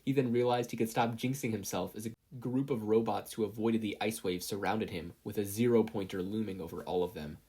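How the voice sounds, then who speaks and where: A narrator reading scripted fiction aloud in an animated storytelling style. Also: medium pitch, fairly quick, hardly any pauses, slightly rough. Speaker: podfic narrator.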